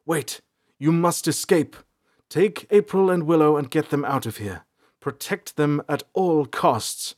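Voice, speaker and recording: A man's voice: on the high side, a little slow, hardly any pauses, no room sound, studio quality, voice pushed up, lightly acted. A man voicing an earnest, self-sacrificing ranger in a fantasy audiobook, giving urgent commands. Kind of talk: dramatic fantasy audiobook character.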